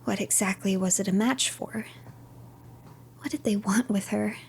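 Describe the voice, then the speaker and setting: A woman streamer narrating a game playthrough as a third-person story, with a hushed, dramatic storyteller's delivery and a creaky voice. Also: mid-range voice, a little slow, a little hiss or hum.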